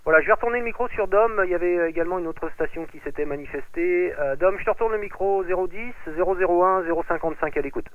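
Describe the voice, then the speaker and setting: Male radio ham, slightly high voice, casual and hesitant, heard through a thin phone-like transmission. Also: quick pace, few pauses. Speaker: amateur radio operator. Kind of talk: casual radio contact chat, handing over the mic.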